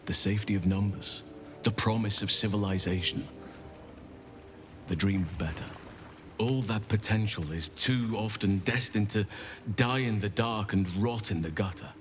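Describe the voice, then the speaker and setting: Casting: male actor for gentle nature-loving druid, low-pitched, earnest and measured, fantasy game, soft music underneath. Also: close to an everyday voice. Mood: earnest, sorrowful. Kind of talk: scripted video game dialogue.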